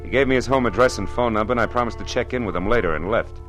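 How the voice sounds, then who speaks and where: A man's voice: fairly low pitch, very fast, over music, close to an everyday voice. An actor playing a cynical hard-boiled private detective, telling his story in dry, wisecracking first person in an old radio drama. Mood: wry narration.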